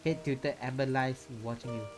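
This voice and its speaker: A man's voice: medium pitch, fairly even intonation, normal speed, over music. A man reading a visual novel aloud in a theatrical, playful way, with casual reactions in between. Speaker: streamer.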